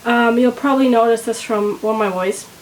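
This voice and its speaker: A sick, congested woman with a nasal voice, chatting casually to her viewers about her knitting, rambling and hesitant with lots of pauses. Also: fairly high pitch, soft background music, rough recording. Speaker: knitting vlogger. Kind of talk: casual chat to camera.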